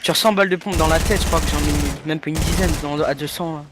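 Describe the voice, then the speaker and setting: Fast-talking excitable young gamer guy. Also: a little high, very noisy. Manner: fast, excitable, swears and stammers. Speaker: gamer streaming a match. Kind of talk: casual gaming chat.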